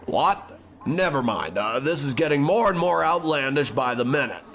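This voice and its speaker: A man playing a priggish, educated, easily appalled gentleman researcher in an old-time radio horror comedy, haughty, fussy and hesitant. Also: mid-range voice, big pitch swings, normal speed. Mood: bewildered.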